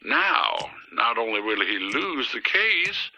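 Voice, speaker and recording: A man voicing a smug, scheming lawyer villain in an animated game, with a pompous, drawn-out, gloating delivery. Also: mid-range voice, gravelly, full character voice, poor audio.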